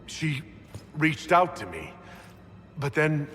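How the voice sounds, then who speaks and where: A man's voice: on the high side, big pitch swings, takes it slowly, fairly bright tone, heavily acted. An actor playing a bewildered, earnest male prisoner in a fantasy video game, hesitant and questioning. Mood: dazed. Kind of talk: dramatic video game acting. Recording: long hall reverb, faint music.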